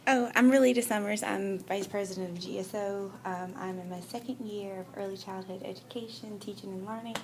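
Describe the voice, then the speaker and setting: A woman's voice: medium pitch, fairly even intonation, a little fast, few pauses, noisy background. A woman from Greensboro, North Carolina, the group's vice president, introducing herself upbeat.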